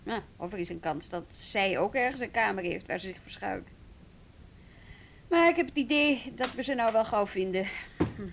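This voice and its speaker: A woman's voice: mid-range voice, lively intonation, moderate pace, creaky, a bit bright, slight background noise. A woman acting a firm, patient, businesslike juvenile police detective in a naturalistic radio drama.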